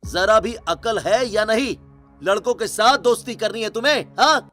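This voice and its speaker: A man voicing an angry, domineering middle-aged father in a cartoon story, scolding and threatening with drawn-out rebukes. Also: high voice, sharp tone, soft background music, pitched above the performer's own voice. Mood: furious.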